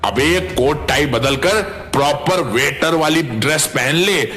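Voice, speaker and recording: A man's voice: a little high, slow pace, bright, forceful tone. A man voicing a brash, chummy young friend in a cartoon, with slangy, teasing talk. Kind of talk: Hindi cartoon story dialogue. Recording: background music under voice.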